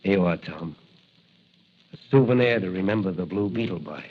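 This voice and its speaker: A man's voice: sits low, expressive, moderate pace, slightly rough, highs cut off. An actor playing a brave young patrolman and secret masked hero in 1940s radio serial style, on an old broadcast recording. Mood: kindly.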